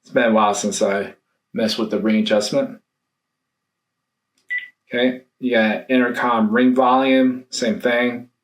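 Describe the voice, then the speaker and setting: A man giving a casual, hands-on product review, thinking aloud in a halting way. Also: medium pitch, steady tone. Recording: roomy echo, faint background noise.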